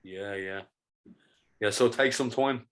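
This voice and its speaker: A man hosting a podcast interview, upbeat and encouraging, with halting, restarting questions. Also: on the low side, fairly bright tone. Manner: upbeat, encouraging, quick to praise. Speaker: podcast host. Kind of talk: casual interview chat.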